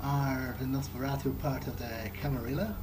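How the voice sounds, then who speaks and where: A man roleplaying in character through a video game, asking questions in a halting, thoughtful, conversational way. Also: medium pitch, steady tone, background noise. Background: game music.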